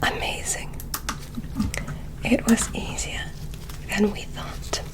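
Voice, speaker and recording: A woman whispering an ASMR roleplay as a polite, curious alien scientist, giving soft, deliberate, soothing instructions. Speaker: ASMR performer.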